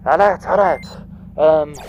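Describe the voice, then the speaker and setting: An actor playing a harried, excitable starship engineer in a space adventure audio drama. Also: male voice, on the high side, unhurried, lo-fi sound, soft background music, natural voice.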